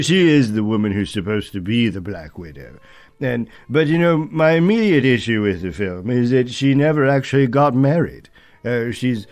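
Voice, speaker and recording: A man playing a doddering, opinionated old British film critic who rambles haltingly and mutters forgetful asides in a comic review monologue. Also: medium pitch, a little mellow. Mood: muddled, forgetful, then puzzled, mildly indignant.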